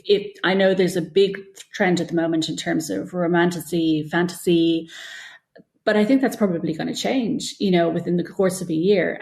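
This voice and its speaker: A woman's voice: fairly low pitch, darkish tone. A woman giving thoughtful, opinionated interview answers in long, flowing, conversational explanations. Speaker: guest author interviewed about writing. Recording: echoey, roomy sound.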